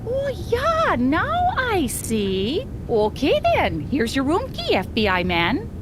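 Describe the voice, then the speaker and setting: A cheery small-town Minnesotan innkeeper for a game. Her folksy voice is high and swoops dramatically up and down, gushing and motherly, with a clear rumble behind her. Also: huge pitch swings, slow pace, heavily acted. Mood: agreeable. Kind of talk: video game character dialogue.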